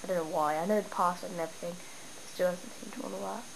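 A woman's voice: lowish voice, fast talker, gravelly. Stuffy-nosed girl rambling thru her game town, noisy home recording. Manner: chatty, rambling, excitable. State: stuffy from a cold. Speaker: gamer showing her town. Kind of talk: casual game walkthrough. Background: steady background noise.

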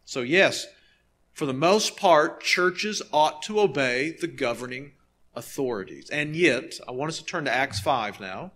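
A man in his thirties preaching a sermon as a pastor, earnest and emphatic, leaning on pauses and repeated phrases. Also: medium pitch, animated, fairly bright tone. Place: nearly empty church sanctuary, behind a pulpit.

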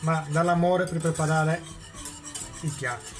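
A man's voice: fairly high pitch, steady tone, a little slow, soft background music. A man hosting a cooking demonstration in a home kitchen, explaining each step and answering his own questions. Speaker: cooking show host.

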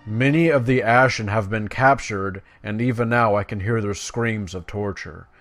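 A man's voice: on the low side, a bit bright, no echo. A man doing gameplay commentary, switching between casual asides and reading quest text aloud. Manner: casual asides between plain, measured read-outs. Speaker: gaming video creator.

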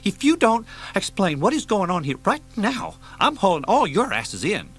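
A man voicing an agitated male border agent who demands answers in a strained, high voice. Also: very animated, a little fast, faint music behind, heavily acted. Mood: angry. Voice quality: strained and high, rising to near shouts.

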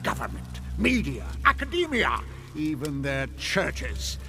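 An actor playing a theatrical senior demon tempter who schemes with villainous relish in an audio drama. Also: male voice, a little high, huge pitch swings, slow, creaky, over music, exaggerated voice. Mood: gloating, rallying.

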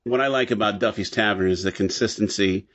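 A man co-hosting a podcast, chatting casually and a little haltingly about old-time radio. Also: on the low side, a bit bright. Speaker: podcast co-host. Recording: slightly dull, lo-fi audio.

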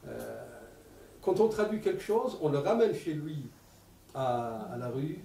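A man in his sixties with an Arabic accent, giving an animated public talk with many repeated words. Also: on the high side, unhurried, slight background noise. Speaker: lecturer. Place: lecture room. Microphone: table stand mic. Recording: echoey room, mic at a distance.

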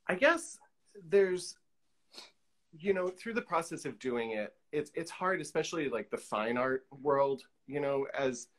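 A man's voice: fairly high pitch, lively intonation, a little slow, a bit bright. A man in his forties chatting as an interview guest on a video call, thinking aloud in a tentative, informal way. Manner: tentative, thinking aloud, hedging with "like" and "you know". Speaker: guest artist. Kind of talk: casual interview chat. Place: indoor room on a video call.

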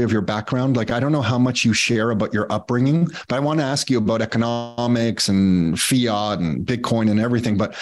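A middle-aged man hosting a podcast interview over a video call, asking long, rambling questions full of fillers. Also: medium pitch. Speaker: podcast host. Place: home studio office. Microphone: earbud headset on video call.

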